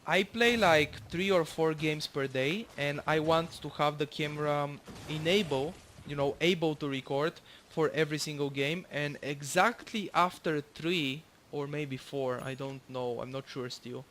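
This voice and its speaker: A man's voice: on the high side, measured pace, few pauses, a bit bright, a little hiss or hum. A young man from Bulgaria, with a Bulgarian accent and Bulgarian as his first language, casually commentating his own match, rambling, swearing freely and correcting himself. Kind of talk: casual commentary over gameplay.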